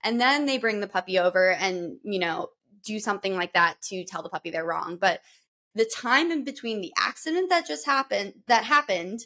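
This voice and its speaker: A woman's voice: mid-range voice, animated, moderate pace, pushed and bright. Woman explaining dog training plainly. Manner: explanatory, earnest. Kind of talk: podcast conversation.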